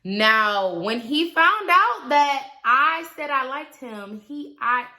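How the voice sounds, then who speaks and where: A woman, talking casually and animatedly to her viewers, swinging between loud asides and quiet trailing remarks. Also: high-pitched, expressive, takes it slowly. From Milwaukee, Wisconsin. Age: 20s. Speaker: vlogger. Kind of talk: casual confessional vlog.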